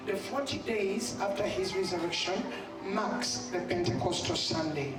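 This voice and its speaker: A woman's voice: medium pitch, expressive, unhurried. A woman in her fifties with a Ugandan accent, speaking as a pastor to her church congregation. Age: 50s. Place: church hall. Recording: echoey church PA, distant, loud music.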